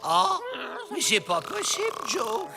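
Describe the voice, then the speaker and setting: An actor playing a naive, good-hearted convict brother in an animated cartoon. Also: very high voice, takes it slowly, creaky, exaggerated voice. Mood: naive, plaintive. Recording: loud music behind the voice.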